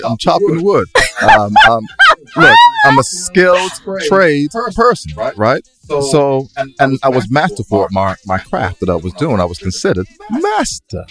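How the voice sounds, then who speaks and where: A man's voice: mid-range voice, a bit bright. A man co-hosting a podcast, debating casually in a playful, insistent manner. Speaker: podcast co-host.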